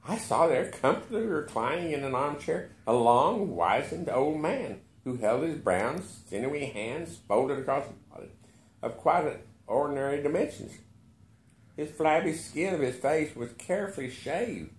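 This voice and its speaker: Animated man reading a short story. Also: a little high, normal speed, echoey room, a little hiss or hum. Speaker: story reader. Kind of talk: expressive literary narration read aloud.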